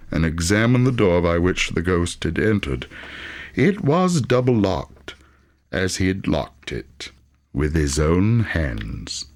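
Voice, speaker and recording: A man narrating an audiobook as dramatic storytelling, with theatrical, deliberate phrasing. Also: deep voice.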